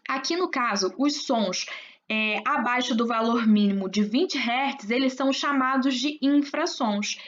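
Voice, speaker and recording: A woman's voice: fairly high pitch, measured pace. A woman teacher explaining a science lesson, talking straight to her students and checking they follow along. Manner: explanatory, addresses students with frequent check-ins. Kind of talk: recorded class explanation. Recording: dull lo-fi recording.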